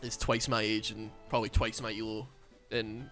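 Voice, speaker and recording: A man's voice: fairly low pitch, little pitch movement, normal speed, a bit bright, soft background music. A Scottish man with a Glaswegian accent and a lisp, mumbling and rambling in casual chat while streaming a game. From Scotland. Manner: mumbling, rambling with many fillers.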